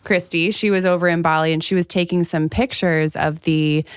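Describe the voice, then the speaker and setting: Woman with a smooth lowish voice chatting casually as podcast cohost, dry recording. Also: fairly even intonation, moderate pace, no room sound. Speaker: astrology podcast co-host. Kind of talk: casual conversational astrology talk.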